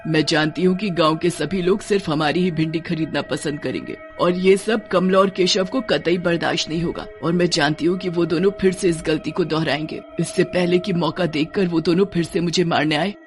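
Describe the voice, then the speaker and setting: A woman's voice: fairly low pitch, moderate pace, few pauses, faint music behind, natural voice. A woman voicing a female ghost witch, a wronged wife seeking justice, speaking resolutely in a cartoon horror story.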